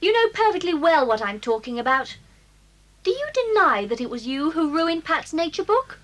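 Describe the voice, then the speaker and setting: A high-voiced, decisive English schoolgirl who takes charge in a children's audio drama. Her tone is stern and bossy, with very animated intonation. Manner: brisk, decisive.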